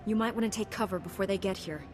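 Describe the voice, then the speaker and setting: A confident, composed heroine of a space saga voiced by an actress talks at a quick pace in a mid-range voice. It is video game dialogue with music underneath. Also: female voice, medium pitch, close to an everyday voice. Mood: composed, firm.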